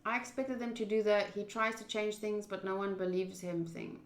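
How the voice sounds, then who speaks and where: A woman's voice: mid-range voice, flat delivery, moderate pace, reverberant. A woman streaming a visual novel, informal and blunt, switching between live commentary and reading the game text aloud.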